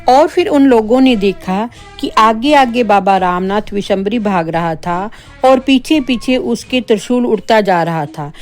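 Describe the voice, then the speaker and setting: A woman's voice: medium pitch, measured pace. A woman narrating a scripted spooky tale for children as a storyteller. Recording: background music under the voice.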